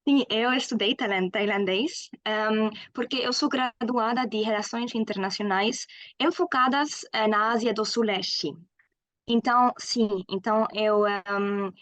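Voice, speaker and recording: A woman's voice: a little high, unhurried, a bit bright, no room sound. German woman in her twenties, guest, hesitant and full of fillers, dry close sound. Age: 20s. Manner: hesitant with frequent fillers, laughs often. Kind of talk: casual interview.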